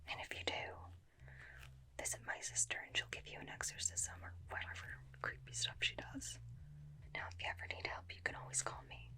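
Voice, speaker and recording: A woman playing a bossy, teasing, protective vampire slayer in an ASMR roleplay, whispering intimately into a close binaural mic with a breathy, creaky edge. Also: pushed and bright, close to an everyday voice. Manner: intimate, slow questioning, dryly teasing. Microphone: close binaural microphone.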